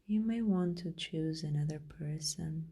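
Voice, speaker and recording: A woman leading a guided meditation in a gentle, soothing, unhurried way with long pauses, her voice soft and at times breathy. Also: low voice. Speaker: meditation guide, podcast host.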